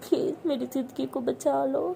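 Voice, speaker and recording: A woman's voice: fairly high pitch, flat delivery, moderate pace, dark tone, a little hiss or hum, lightly acted. A woman playing a desperate young woman, pleading. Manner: pleading, tearful. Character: desperate young woman sobbing over her love.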